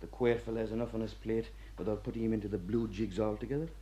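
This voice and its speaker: An actor playing an older Irish prison warder, gruff yet fatherly, lecturing wryly in a radio play. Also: on the low side, steady tone, quick pace, warm tone, slight background noise, lightly acted. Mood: instructing.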